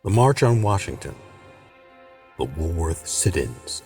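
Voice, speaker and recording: A man with a very low voice and an American accent narrates a scripted documentary. His delivery is measured and dramatic, in the manner of old-time radio storytelling. Light music plays behind him. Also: sing-song, a little mellow. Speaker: host and narrator.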